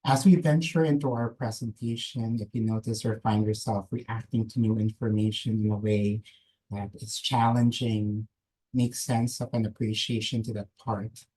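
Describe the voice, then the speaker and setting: Soft-voiced man leading a calm grounding exercise. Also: fairly low pitch, husky, rough recording. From Philippines. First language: Filipino language. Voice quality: slow, measured, gentle invitational delivery. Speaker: guest speaker, nurse practitioner. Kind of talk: webinar presentation with guided grounding exercise. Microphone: computer mic on a video call.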